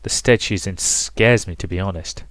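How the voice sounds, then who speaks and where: A man voicing a guarded, worried marquis in a visual novel, speaking in a grave, measured way. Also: sits low, fairly bright tone, no echo.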